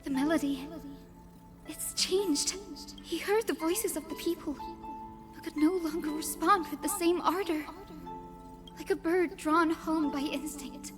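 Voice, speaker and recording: A woman playing a gentle young fantasy heroine in a game, with lyrical, earnest, dramatic delivery. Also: very high-pitched, measured pace, pauses often. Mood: wistful. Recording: game audio under clear music.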